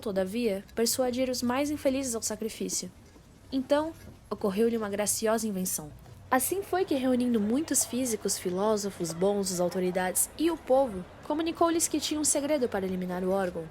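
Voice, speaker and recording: Teen female narrator, Brazilian, fairly high voice, reading a literary radio play over faint noise. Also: a little mellow. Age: teenager. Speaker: student narrator. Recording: amateur recording with background noise.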